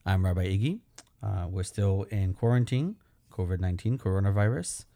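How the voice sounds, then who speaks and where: A man from Belgium with a Belgian accent, a rabbi hosting his show and talking earnestly and personally, with gentle humor. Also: low voice, moderate pace, dry recording. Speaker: rabbi and podcast host. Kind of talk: reflective solo talk.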